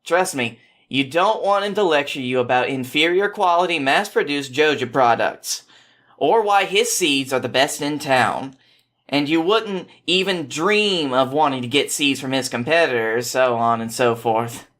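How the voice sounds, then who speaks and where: A man's voice: fairly high pitch, unhurried, a bit bright. Talkative, helpful young woman played by a male reader, casual storybook dialogue. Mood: teasing. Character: chatty, helpful young woman, shopkeeper's daughter.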